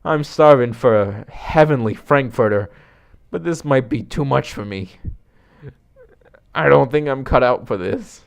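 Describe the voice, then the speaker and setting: A man voicing an insecure, emotional slacker dude in a comic story, talking in halting, self-deprecating slang. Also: medium pitch, vocal fry. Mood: weary. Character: slacker hot-dog-obsessed male would-be friend, insecure, emotional, dude-ish.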